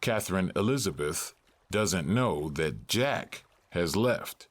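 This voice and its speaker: A man narrating stage directions for a drama in a measured, matter-of-fact way. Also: deep voice, expressive.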